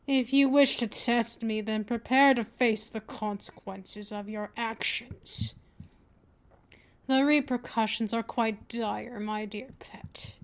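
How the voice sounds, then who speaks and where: Woman acting as a vampire mistress, dominant, unhurried, fairly high voice, teasing, possessive, ASMR roleplay. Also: fairly even intonation. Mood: stern, possessive. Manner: slow, deliberate, coaxing, with drawn-out pet names.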